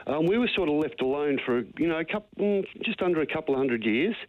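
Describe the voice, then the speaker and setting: A man's voice: fairly high pitch, expressive, fast, no echo. A man with a broad Australian accent on a phone line, calling a radio show with earnest, matey, opinionated talk. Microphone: telephone. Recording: tinny, narrow phone line.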